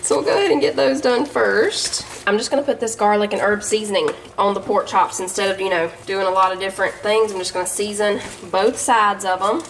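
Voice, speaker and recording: A woman's voice: mid-range voice, fairly quick, hardly any pauses, poor audio. A woman with a Southern US accent, chatting casually in a folksy way as she narrates a home cooking vlog. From Southern United States. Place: home kitchen.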